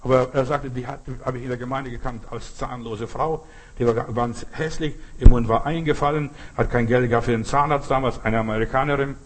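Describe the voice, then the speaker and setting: A male preacher with a southern German accent delivers an informal sermon. His voice is husky and sits at an average pitch. He talks in everyday words, repeats phrases for emphasis and addresses listeners directly with short check-in questions. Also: steady tone, brisk.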